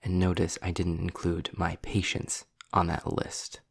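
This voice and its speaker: A man performs a sardonic demon in an audio drama, speaking low and very slowly. He is wordy and impatient, leaving deliberate pauses, and the voice is heavy with gravelly fry. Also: monotone, dry recording, exaggerated voice. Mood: wry, impatient. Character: sarcastic, impatient male demon, wry and erudite. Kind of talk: scripted audio roleplay, addressed to the listener.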